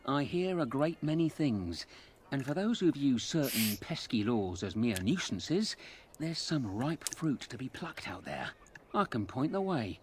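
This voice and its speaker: An actor playing a chatty, sly ex-burglar turned fence in a video game, talking in colloquial patter. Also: male voice, mid-range voice, sing-song, a little slow, a little mellow, slight background noise. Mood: sly, chummy. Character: cheeky roguish male thieves' fence, ex-burglar with a conscience. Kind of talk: video game character dialogue.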